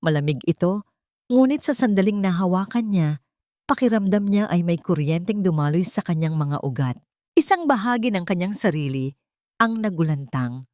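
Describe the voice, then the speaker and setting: A woman's voice: fairly low pitch, darkish tone, dry recording, studio quality. A woman with a Filipino accent reading a scripted, dramatic story as its narrator.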